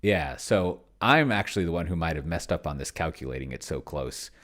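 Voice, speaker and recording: A man casually thinking aloud as he plays a solo tabletop game, halting and muttering to himself as he types. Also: extremely low pitch, animated, fairly bright tone. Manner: self-described pedantic. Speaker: solo tabletop player and video host.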